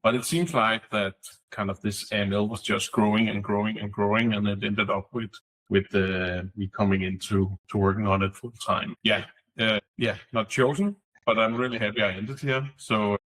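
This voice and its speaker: A man's voice: lowish voice, flat delivery, moderate pace, a bit bright, poor audio. A middle-aged man from Denmark, a podcast guest who speaks thoughtfully and searches for his words. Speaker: guest, fintech executive. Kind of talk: podcast interview.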